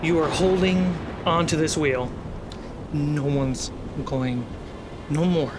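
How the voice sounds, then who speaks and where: A game master narrating a tabletop adventure dramatically, with deliberate, suspenseful pauses. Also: mid-range voice, noisy background.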